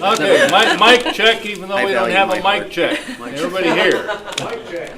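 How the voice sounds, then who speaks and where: A man's voice: sits high, animated, normal speed. A man making casual live announcements as the emcee of a club meeting. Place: large room at a club meeting. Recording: distant mic, loud crowd chatter.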